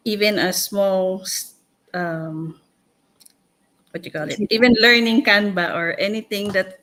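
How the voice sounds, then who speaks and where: A woman, talking casually as a livestream co-host, haltingly searching for words. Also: fairly low pitch, fairly bright tone, slight background noise, poor audio. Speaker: co-host and panelist.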